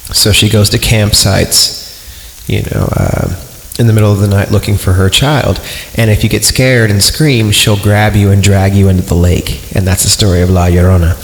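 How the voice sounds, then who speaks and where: A man's voice: on the low side, creaky, a little hiss or hum. A man hosting a podcast, casually reading and riffing on horror stories in an irreverent, joking, rambling way. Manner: irreverent, joking, digressive, easily amused. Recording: echoey long reverb, music behind the voice. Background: music.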